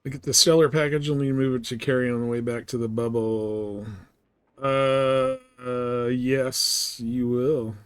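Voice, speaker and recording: A man chats casually as he streams a game, thinking aloud between remarks to viewers. His pitch is average for a male voice. The sound is dry and close, with very little room in it. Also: fairly even intonation, measured pace, clear, resonant tone, no echo. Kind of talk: casual chat while playing a space game.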